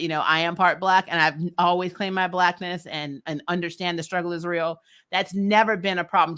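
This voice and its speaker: A woman hosting a recap vlog, chatting casually and answering comments with strong opinions, in an emphatic, blunt, animated manner that includes swearing. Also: on the low side. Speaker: host of a TV show recap vlog.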